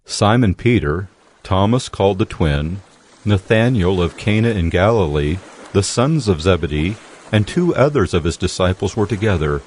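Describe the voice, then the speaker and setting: A man narrating scripture in a steady, solemn scripted reading. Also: sits low, moderate pace, a little hiss or hum. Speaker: Bible narrator. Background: music.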